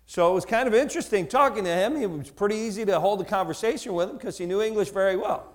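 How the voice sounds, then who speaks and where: A man with a New Jersey accent preaching a sermon, telling a story and acting out both sides of a conversation with lively, repeated words. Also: high-pitched, fast. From United States. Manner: animated, acts out dialogue, repeats words.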